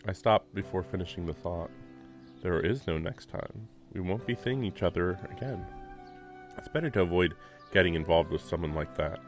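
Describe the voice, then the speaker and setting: A man's voice: low voice, lively intonation, moderate pace. A man live streaming a visual novel, reading its text aloud with casual, informal commentary. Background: faint game music.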